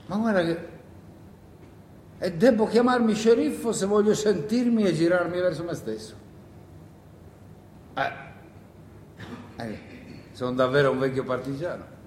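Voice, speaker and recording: Weary, rambling partisan in an echoey room. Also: male voice, high-pitched, unhurried, long pauses, a little mellow. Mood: agitated. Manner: rambling, muttering, self-interrupting, exclaiming. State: tired. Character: weary, hungry male wartime partisan talking to himself. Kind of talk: theatrical radio monologue. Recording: roomy echo with faint noise.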